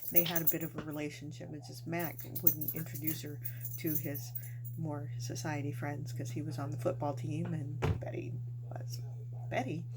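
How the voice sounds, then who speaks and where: A woman host telling ghost stories casually to viewers, in a chatty, folksy, colloquial way. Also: low-pitched. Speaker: host of a storytelling channel. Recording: noisy home recording with clear hum.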